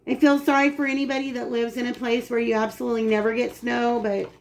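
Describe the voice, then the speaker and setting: Raspy woman chatting while cooking. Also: on the high side, level tone, fast, rough recording. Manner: chatty, explains steps while working. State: dry, raspy throat. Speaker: cooking channel host. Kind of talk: casual cooking demonstration. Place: home kitchen.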